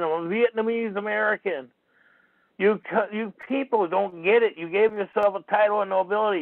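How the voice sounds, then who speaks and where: Rambling gravelly man on a phone line. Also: high voice, no echo. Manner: rambling, repetitive, argumentative. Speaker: talk show host. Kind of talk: rambling call-in radio monologue. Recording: tinny phone-line call-in audio.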